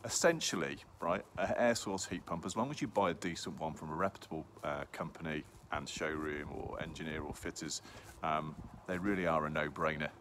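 A deep-voiced man in his fifties talks casually into a phone at arm's length outdoors, peppering his talk with ums and okays. Steady noise sits clearly behind him. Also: a little fast, hardly any pauses. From England. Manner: chatty, lots of ums and okays. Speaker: hot tub dealer. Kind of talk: casual selfie sales vlog. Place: outdoors at a showroom yard in snow.

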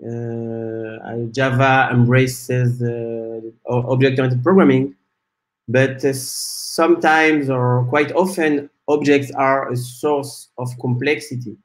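A man in his forties from France, giving a talk on a video call with many "uh" pauses. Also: medium pitch, fairly even intonation. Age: 40s. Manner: measured, frequent "uh" fillers. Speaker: guest speaker, software developer. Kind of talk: conference talk presentation. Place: small room at home. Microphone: video call webcam.

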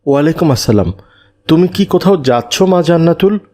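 A mellow, dark-toned father voiced by a man in a cartoon. He is mild and caring as he speaks to his child. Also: a little high, animated, brisk, natural voice.

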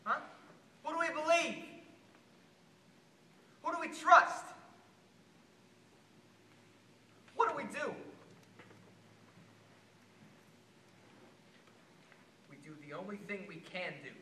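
An actor playing an unhinged, bitter working-class American would-be assassin in a theatrical stage monologue, veering erratically between shouted rage and hushed pleading. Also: male voice, very high-pitched, big pitch swings, normal speed, full character voice. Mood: desperate, resolved. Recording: distant, echoey amateur stage recording, faint noise.

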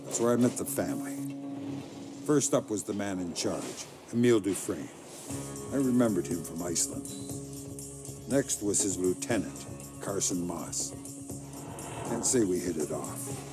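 Hardened American male agent, middle-aged, low voice, clipped and defiant, game cutscene with music underneath. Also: animated, unhurried, long pauses. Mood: grim narration. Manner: clipped, terse, guarded. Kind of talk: cinematic video game acting. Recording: music behind the voice.

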